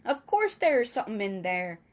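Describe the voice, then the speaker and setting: A woman as a big friendly storybook giant, male, earnest, excitable, emphatic, folksy muddled grammar. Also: mid-range voice, fairly quick, natural voice. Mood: explaining. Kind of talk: children's storybook character reading.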